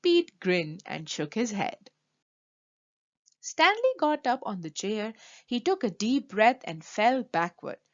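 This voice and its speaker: A woman reading a children's story aloud in an expressive, emphatic way. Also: mid-range voice, slow, lo-fi sound. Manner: animated, emphatic delivery for children.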